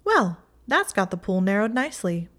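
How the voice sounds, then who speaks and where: A woman's voice: mid-range voice, very animated, moderate pace, fairly bright tone, voice pushed up. A woman voicing a haughty, mocking young witch who talks down to others in a condescending tone. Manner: condescending, sneering.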